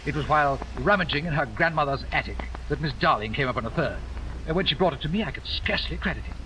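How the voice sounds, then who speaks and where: A fussy, pompous coin dealer villain. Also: male voice, fairly high pitch, expressive, fast, bright, forceful tone. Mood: explaining. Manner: florid, hesitant, flowery phrasing. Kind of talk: 1940s radio acting. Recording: muffled vintage radio broadcast with hiss.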